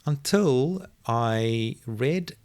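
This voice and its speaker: A middle-aged man whose first language is Afrikaans, reflectively telling a personal story as an interview guest and often pausing to search for words. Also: medium pitch, expressive, a little mellow, no echo. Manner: thoughtful, searching for words, many restarts.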